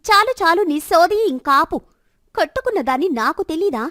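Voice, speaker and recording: Woman acting bitter, high-voiced, scolding village wife. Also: expressive, fairly quick, fairly bright tone, no room sound, studio quality. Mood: scornful, angry. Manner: scornful, complaining, rhetorical questions. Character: bitter, sharp-tongued, childless village wife scolding her husband. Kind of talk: dramatized Telugu moral story.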